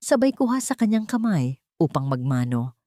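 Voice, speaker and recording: A woman's voice: deep voice, very animated, normal speed, warm tone, dry recording, studio quality. A woman narrating a sentimental scripted story as a storyteller, in a dramatic reading style.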